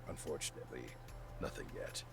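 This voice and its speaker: A man voicing a weary, brooding investigator in a video game, gravelly, with loud music behind him. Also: brisk, lightly acted.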